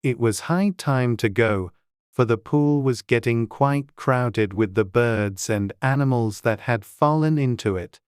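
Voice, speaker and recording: Very low-pitched woman narrating a storybook. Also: measured pace, darkish tone, smooth, dry recording, clean studio sound. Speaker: audiobook narrator.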